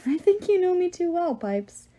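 A storybook father, voiced by a woman, wistful and gently teasing. He speaks softly and wryly, in a mellow tone. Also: very high voice, sing-song, moderate pace, pitched above the performer's own voice. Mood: fond resignation. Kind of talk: audiobook character voice.

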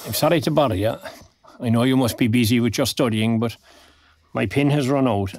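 A male actor playing a friendly, talkative retired man in an audio drama, an older Irish voice, husky and gravelly, with old-fashioned turns of phrase. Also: mid-range voice, a little fast, a little mellow, a little hiss or hum, natural voice. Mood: apologetic, polite. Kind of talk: naturalistic audio drama acting.

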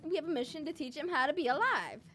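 A woman's voice: high voice, expressive, fast, fairly bright tone. A young woman chatting playfully and giggly as she hosts an improvised video game roleplay. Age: young adult.

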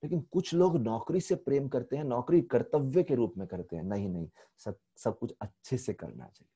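Indian male spiritual teacher in his 40s, mellow tone, lively storyteller, mixes English into Hindi, earphone mic. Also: mid-range voice, moderate pace, husky. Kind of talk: online spiritual lecture. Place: temple room indoors. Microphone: earphone headset mic on video call.

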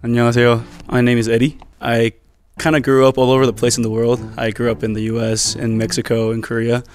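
A man introducing himself as a contestant on a studio game show, friendly and quick to switch languages. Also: medium pitch, monotone, soft background music.

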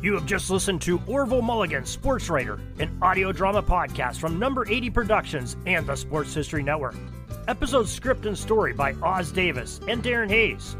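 Male podcast announcer, scripted credits read, animated intonation, music bed. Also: high-pitched, brisk. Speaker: announcer reading closing credits.

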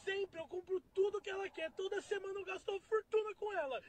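Young man, very high pitch, ranting, played clip. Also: steady tone, fast. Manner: complaining rant to camera.

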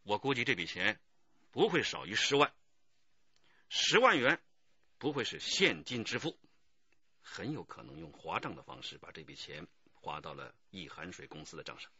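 Forceful, husky detective chief. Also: male voice, deep voice, big pitch swings, normal speed, pauses often, no room sound, dull audio, exaggerated voice. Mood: decisive. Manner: authoritative, decisive. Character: decisive, commanding male police detective chief. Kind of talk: radio drama acting.

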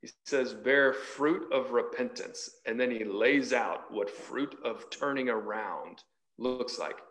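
A man's voice: medium pitch, takes it slowly, fairly bright tone, low-quality recording. A man in his forties giving an emphatic sermon, reading scripture and explaining it, repeating phrases for stress. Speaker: preacher leading a Bible study. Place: large church hall, indoors.

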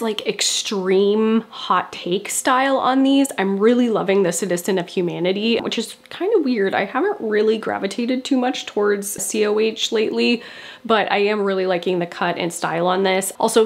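A woman's voice: mid-range voice, vocal fry. A woman in her thirties talks straight to the camera in a small bedroom, her voice nasal and stuffed up from a cold. She chats eagerly and loosely, with plenty of casual fillers, like a vlogger sharing favorites. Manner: chatty and enthusiastic, many "like" fillers. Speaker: fashion vlogger.

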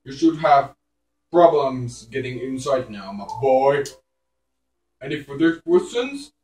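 A kindly old game professor, acted by a man, speaking slowly and with approval. The avuncular voice sits in an echoey room with faint music. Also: mid-range voice, very animated, darkish tone. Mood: instructing.

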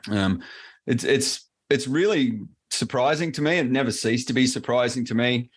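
A man's voice: medium pitch, normal speed, husky. A man with an Australian accent explaining technology as an interview guest, loose and full of fillers and restarts. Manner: rambling, many fillers and restarts. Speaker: presales solution architect, guest. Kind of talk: casual interview answers.

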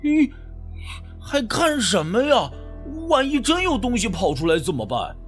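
A man's voice: extremely high pitch, wildly swooping pitch, a little slow, soft background music, voice pushed up, exaggerated voice. A man voicing a timid, flustered young male sidekick who stammers and exclaims in an audio story. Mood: nervous.

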